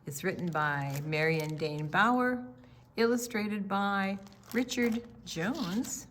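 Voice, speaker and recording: An older woman co-hosting a children's storytime, chatting playfully and reading picture books aloud in an unhurried way. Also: mid-range voice, a bit bright, slight background noise. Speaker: children's storytime co-host and book reader.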